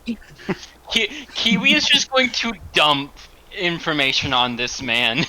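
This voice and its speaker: A man chats excitedly while playing a tabletop game, laughing and swearing freely. His voice is very bright and somewhat high. His pitch swings widely. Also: slow pace, a little hiss or hum, rough recording. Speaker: player. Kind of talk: casual chat during a tabletop game.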